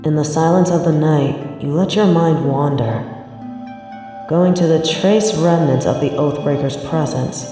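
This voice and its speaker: A woman narrating a scripted story in the second person, in a measured storytelling manner. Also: sits low. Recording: very echoey, long reverb, music behind. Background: music.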